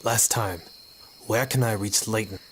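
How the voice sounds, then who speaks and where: Low-voiced, hard-boiled noir sleuth, acted by a man. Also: a little slow, a bit bright, slight background noise. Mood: menacing. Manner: wry, tough-talking, sardonic. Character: hard-boiled male noir photographer-sleuth, sardonic and defiant. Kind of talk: 1950s noir radio drama narration and acting.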